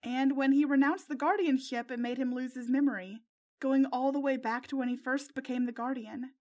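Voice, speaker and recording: A woman voicing an anxious, tender-hearted teenage girl with a soft, earnest, emotional way of speaking in a narrated story. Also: high-pitched, steady tone, normal speed, natural voice. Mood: sad.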